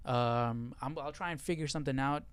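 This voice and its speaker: A man's voice: mid-range voice, a little fast, smooth. A man hosting a livestream, talking through scripture informally and checking in with rhetorical questions. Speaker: host of a Bible study livestream. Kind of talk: casual conversational Bible commentary to viewers.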